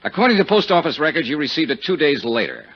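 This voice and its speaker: An actor playing a tough, wisecracking investigator in 1950s radio detective drama, blunt and sardonic, with a husky voice. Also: male voice, a little high, big pitch swings, quick pace. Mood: pressing, sardonic. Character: tough, brash, wisecracking American male investigator. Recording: dull 1950s radio broadcast recording.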